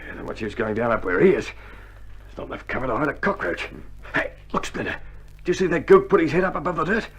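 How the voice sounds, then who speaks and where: An actor playing a steady, matey Australian soldier, laconic and down-to-earth, in a 1960s radio drama. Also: male voice, on the high side, quick pace, slightly rough. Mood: wary, dogged. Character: cheerful, steady, laconic infantry soldier under fire. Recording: noisy old vinyl radio drama recording.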